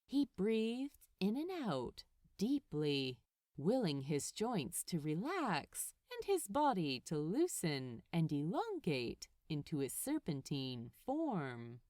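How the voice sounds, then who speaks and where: A woman reading a story aloud as narrator, with expressive, deliberate phrasing. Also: fairly low pitch, no room sound, studio quality.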